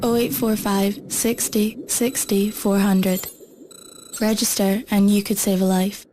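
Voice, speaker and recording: A woman's voice: mid-range voice, little pitch movement, measured pace, mellow, slight background noise. A woman reading a scripted public service announcement as advert narrator.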